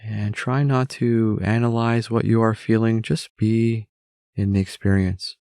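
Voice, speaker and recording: A man narrating a guided meditation in a measured way, with long pauses between phrases. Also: on the low side, flat delivery, a little mellow, clear, resonant tone, no echo, clean studio sound.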